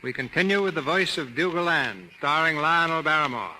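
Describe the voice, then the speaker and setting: A man's voice: a little high, animated, fairly quick, background noise. A man giving formal scripted narration as host of a 1930s radio theater. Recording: 1930s radio broadcast with music behind.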